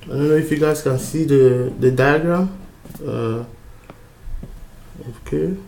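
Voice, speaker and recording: Halting man lecturing from Cameroon. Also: on the high side, creaky, dark tone, echoey room, slight background noise. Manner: halting, many fillers and restarts. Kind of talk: informal lecture over a diagram.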